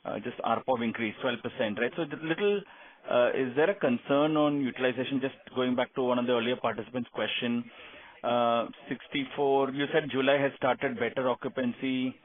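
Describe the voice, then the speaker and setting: A man with an Indian accent, a financial analyst politely asking questions on an earnings call, with informal fillers. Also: mid-range voice. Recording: narrow phone conference line.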